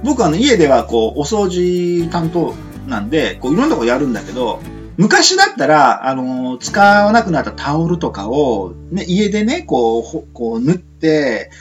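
A man's voice: on the high side, huge pitch swings, moderate pace, soft background music. A man hosting a casual radio chat, chatty and full of small fillers, with polite phrasing. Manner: chatty, frequent sentence-ending fillers, polite sign-offs. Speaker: radio show host.

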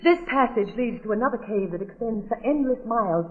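Cold, determined murderess, played by an actress, high voice, formal menace, vintage radio drama on tinny narrow-band audio. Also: female voice, lively intonation, a little fast, natural voice. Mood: defensive, confessing. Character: cold, determined female killer guarding her secret. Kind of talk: 1940s radio drama acting. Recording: tinny, band-limited old radio recording.

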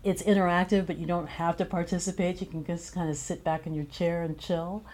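Low, mellow-voiced woman playwright. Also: flat delivery, a little fast, a little hiss or hum. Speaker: guest playwright and director. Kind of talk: casual interview conversation.

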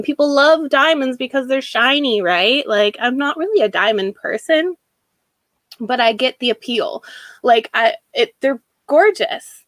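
A woman from Washington state with an American accent, giving a reflective personal monologue in a halting way with emphatic repeated phrasing. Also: on the high side, no echo. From Washington state, United States. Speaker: speaker sharing a personal story.

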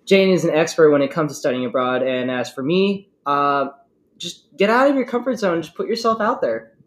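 A man's voice: a little high, animated, moderate pace, a bit bright. A young man hosting a casual podcast, asking questions and wrapping up with playful jokes. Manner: playful, jokey wrap-ups. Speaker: podcast host.

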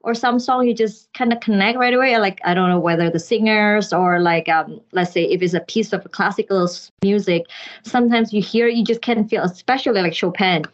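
A woman's voice: medium pitch, brisk, muffled recording. A woman with an Indonesian accent talking casually and informally as an interview guest, thinking aloud in a rambling way. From Jakarta, Indonesia.

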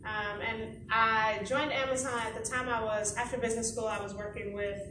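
A woman's voice: on the high side, steady tone, moderate pace. Woman, thirties, American accent, conversational panel guest, distant roomy sound, some background noise. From Chicago, United States. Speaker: panel guest, company employee and founder. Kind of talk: conversational panel interview answers.

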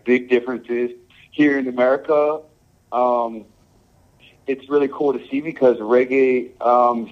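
A man from Samoa and Hawaii, a reggae musician, answering interview questions in a laid-back, halting way, full of "um" and "you know". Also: mid-range voice, muffled recording.

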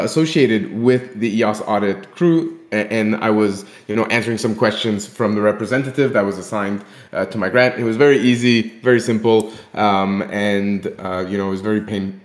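Male show host, friendly, lots of ums and uhs, echoey room. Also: fairly low pitch. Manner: friendly, filler-heavy interviewer. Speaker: podcast host. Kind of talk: casual podcast hosting. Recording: roomy echo.

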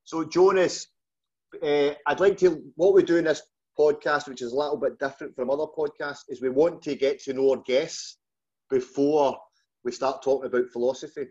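A man's voice: fairly high pitch, fairly even intonation, moderate pace, darkish tone, breathy edge. A man with a Glaswegian Scottish accent hosting a casual interview, talking in an informal, matey, colloquial way. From Scotland, just outside Glasgow. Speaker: podcast host. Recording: dull, lo-fi call audio.

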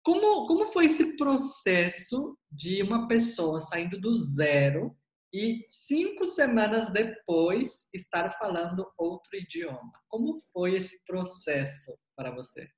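A man interviewing a student about an online language course. He talks in a casual, animated way, exclaims often and repeats key words. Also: high-pitched, takes it slowly, reverberant, low-quality recording.

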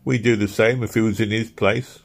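A man's voice: fairly low pitch, steady tone, normal speed, husky, voice pushed up. A man doing a sarcastic sanctimonious workman. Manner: sarcastic, offensive.

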